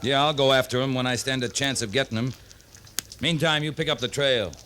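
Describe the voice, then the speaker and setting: Male actor as stern western officer, gruff, weary, medium pitch, commanding, vintage radio hiss. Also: fairly quick, a bit bright, close to an everyday voice. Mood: grim resolve. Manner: terse, commanding. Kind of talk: 1950s western radio acting. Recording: faintly noisy 1950s radio recording.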